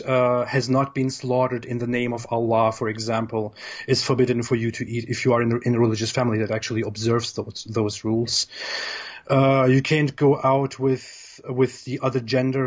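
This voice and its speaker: A man's voice: mid-range voice, flat delivery, moderate pace. A man from southern Germany with a German accent, talking conversationally as an interview guest and often repeating words as he searches for them. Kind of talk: conversational interview, personal account. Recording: dull, lo-fi audio.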